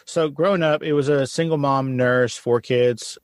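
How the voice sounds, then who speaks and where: A man's voice: medium pitch, steady tone, normal speed, no room sound. Guy hosting a chill podcast, self-deprecating jokes, dry sound. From San Antonio, Texas. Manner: jokey, laid-back, self-deprecating. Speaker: podcast host. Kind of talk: casual podcast interview.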